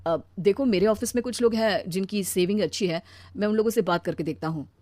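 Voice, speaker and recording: A woman's voice: medium pitch, fairly quick, a little mellow, no room sound, close to an everyday voice. A woman voicing a mild, anxious, put-upon working husband in a cartoon story, with a plaintive, weary manner. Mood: concerned. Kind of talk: animated cartoon story dialogue.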